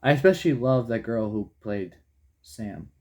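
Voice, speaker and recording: A young man co-hosts an offhand movie review with a friend. His voice sits at an ordinary mid pitch, and he talks loosely. He often restarts sentences and throws in a casual swear. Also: expressive, normal speed, a crowd in the background. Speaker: co-host of a movie review channel.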